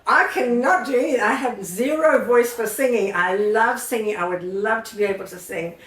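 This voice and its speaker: A woman hosts a relaxed interview, chiming in with quick yeahs and asking follow-up questions. Her voice is deep for a woman. She sounds set back from the microphone, with an echo around her. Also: distant mic. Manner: warm listener, frequent short affirmations. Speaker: podcast host. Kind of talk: casual interview chat.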